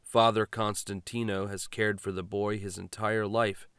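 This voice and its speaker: A man's voice: lowish voice, flat delivery, unhurried, a bit bright, smooth, no echo. A man reading scripted fiction as an audiobook narrator.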